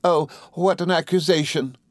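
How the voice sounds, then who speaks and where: Man voicing a childish, high-pitched, tearful young wife. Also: normal speed, dry recording, clean studio sound, close to an everyday voice. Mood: indignant. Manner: plaintive, sobbing, exclamatory. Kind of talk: dramatised classic novel reading.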